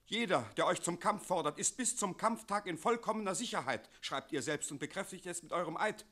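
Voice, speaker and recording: An agitated, protective father and archivist in a radio play. His voice is high and tense, officious yet pleading. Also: lively intonation, a little fast, pushed and bright, exaggerated voice. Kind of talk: German radio play acting.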